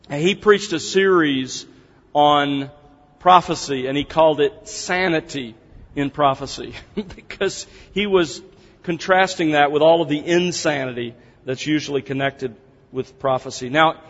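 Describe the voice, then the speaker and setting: A man's voice: fairly high pitch, fairly bright tone. A man teaching a seminar to a congregation, opening with prayer, speaking in an earnest, conversational way as he explains things step by step. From United States. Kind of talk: seminar lecture opened with prayer. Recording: dull, reverberant hall sound.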